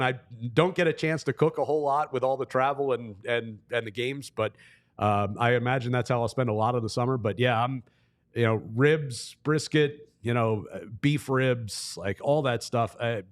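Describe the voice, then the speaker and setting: A man's voice: mid-range voice, moderate pace. A man who works as a hockey broadcaster, chatting casually as a guest and thinking aloud as he talks. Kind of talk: casual sports talk interview.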